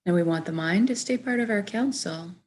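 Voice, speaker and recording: A woman leading a guided meditation, speaking in a gentle, measured, soft way as she gives soothing instructions. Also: mid-range voice, a little hiss or hum.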